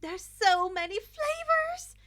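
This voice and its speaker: A woman's voice: very high-pitched, sing-song, slow pace, bright, forceful tone, voice pushed up, heavily acted. A woman voicing a bubbly, playful teenage girl who exclaims with great enthusiasm in a story reading. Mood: delighted.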